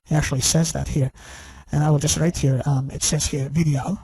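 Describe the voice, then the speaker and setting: A man's voice: a little high, flat delivery, fairly quick, gravelly, mellow, slightly rough, no room sound. A man delivering a rambling, scornful rant to his viewers, full of "you know" and "okay?". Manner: scornful and insistent. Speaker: channel host. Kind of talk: ranting monologue to camera.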